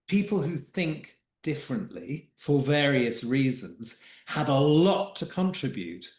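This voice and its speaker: Reflective man coach, roomy sound. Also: mid-range voice. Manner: reflective, repeats words as he thinks. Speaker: guest, executive coach and author. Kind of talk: podcast interview conversation.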